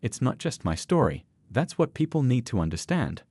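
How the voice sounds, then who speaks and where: A man voicing a dignified old grandfather in a narrated story, calm, principled and plain-spoken. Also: medium pitch, normal speed, slightly rough, dry recording. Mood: calm, resolute.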